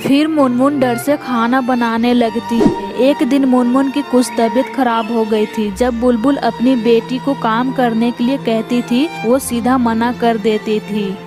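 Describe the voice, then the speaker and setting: A woman narrates a scripted story for children. Her voice is on the high side. Music plays clearly behind the narration. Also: fairly even intonation, measured pace, hardly any pauses.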